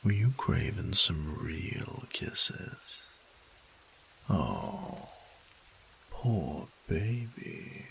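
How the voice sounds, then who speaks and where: A man acts out an affectionate, teasing boyfriend in a romantic audio roleplay. His voice is extremely low and full of fry, drawn out very slowly in intimate murmurs and whispers. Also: wildly swooping pitch, fairly bright tone, full character voice, rough recording. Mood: playful, teasing. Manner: slow, teasing, breathy murmurs dropping into whispers.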